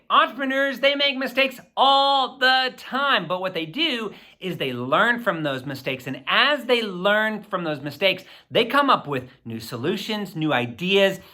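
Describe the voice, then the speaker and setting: A man's voice: sits high, pushed and bright. A middle-aged man, a school principal, speaking to children and reading aloud in an upbeat, emphatic, encouraging way. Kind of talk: enthusiastic address and children's book read-aloud.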